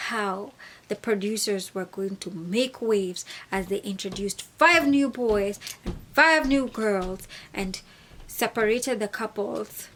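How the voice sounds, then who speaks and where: A young woman from Kenya reviewing a reality show in a casual vlog, sassy and animated, acting out the people she quotes. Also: medium pitch, a little hiss or hum.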